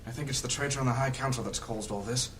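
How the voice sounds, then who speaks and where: Level-headed adventurer, male actor, earnest and deliberate, mid-range voice, fantasy audio drama. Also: medium pitch, a bit bright, distant mic, lightly acted. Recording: faint background noise.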